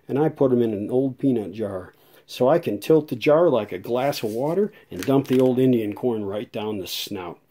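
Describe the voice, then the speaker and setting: A man's voice: medium pitch, warm tone, a little hiss or hum. An American man chatting casually to viewers as a hobbyist host, in a folksy, conversational way. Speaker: hobbyist radio restorer and video host. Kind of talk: casual vlog chat to viewers.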